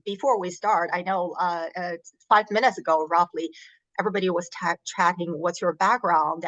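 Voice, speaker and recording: A woman's voice: medium pitch, fairly bright tone, dry recording. A woman moderating a career webinar over a video call, chatty and friendly, with some hesitations. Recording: dull lo-fi call audio.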